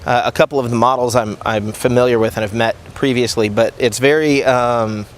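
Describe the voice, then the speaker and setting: A man's voice: medium pitch, steady tone, a little fast, a little hiss or hum. A man, the organizer of a competition, speaking in an interview in a direct, instructive way. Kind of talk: confessional interview. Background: background music.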